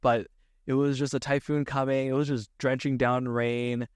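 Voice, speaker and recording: Smooth, dry-sounding man, casual host. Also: medium pitch, little pitch movement, moderate pace, a little mellow, dry recording. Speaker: podcast host. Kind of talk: casual interview chat.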